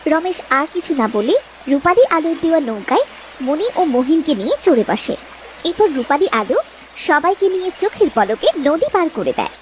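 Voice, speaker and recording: A woman's voice: high-pitched, big pitch swings, moderate pace, a little mellow, slight background noise, rough recording. A woman narrator telling a fairy tale in a scripted storytelling style.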